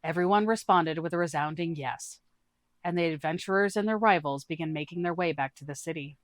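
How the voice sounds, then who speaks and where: A woman's voice: lowish voice, brisk, no room sound, studio quality. A woman storyteller reading a scripted fantasy adventure tale as narration.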